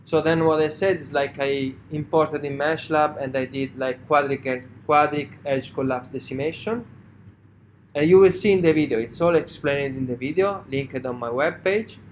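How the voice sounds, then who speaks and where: A man with a strong Italian accent lecturing a university class, speaking haltingly with many fillers and checking in with "right?". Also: fairly high pitch, slight background noise.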